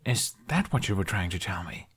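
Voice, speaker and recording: A gentle young man in a storybook reading, asking soft, coaxing questions, voiced by a man close to his own voice. His tone is patient and low-key. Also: expressive, normal speed, husky, full character voice.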